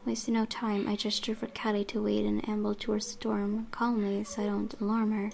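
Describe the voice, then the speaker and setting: A woman's voice: mid-range voice, monotone, fairly quick, vocal fry, darkish tone, slight background noise. A woman hosting a gaming channel, reading a visual novel's narration aloud with occasional asides.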